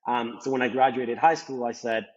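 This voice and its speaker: A man's voice: mid-range voice, level tone, normal speed. A young man from Colombia, a native Spanish speaker with a Colombian accent, answering as a podcast guest in a loose, rambling way full of "like" and "you know.". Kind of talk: casual interview talk. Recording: slightly dull lo-fi sound.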